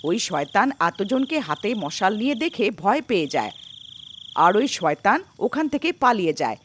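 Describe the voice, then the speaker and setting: A woman's voice: mid-range voice, very animated, normal speed, slight background noise. A woman reading scripted narration for a cartoon folk story.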